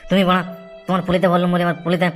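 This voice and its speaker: A man's voice: sits high, completely flat delivery, rapid-fire, music in the background, natural voice. A man voicing a brash, scheming, foul-mouthed young village man in a crude comedy cartoon, with a rural West Bengal accent. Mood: disgusted. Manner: bossy, crude, boastful.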